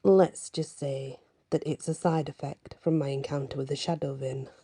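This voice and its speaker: A woman voicing a blunt, cryptic male magical mentor in a storybook reading, with measured, grave statements. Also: low voice, fairly even intonation, warm tone, slightly rough. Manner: measured, speaks in riddling statements.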